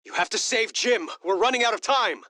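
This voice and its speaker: A man playing an alarmed military officer in a video game, urgent and hurried. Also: high-pitched, lively intonation, bright, forceful tone. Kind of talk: video game cinematic acting.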